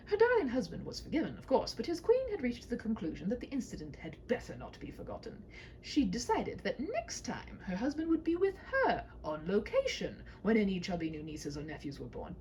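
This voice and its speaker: A woman's voice: a little high, darkish tone, a little hiss or hum, poor audio. A woman reading a humorous story aloud as a storyteller, whimsical, with conversational asides.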